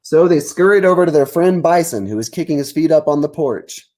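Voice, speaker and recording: Animated man reading at story time. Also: on the high side, a little fast. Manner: informal, addressing young listeners. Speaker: author reading own book. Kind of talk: children's story time, explaining and reading aloud.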